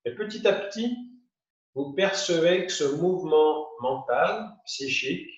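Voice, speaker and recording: A man teaching yoga nidra, guiding a meditation in short, unhurried phrases with pauses. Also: a little high, expressive. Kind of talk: guided meditation, spoken instructions. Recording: muffled, roomy, distant from the mic.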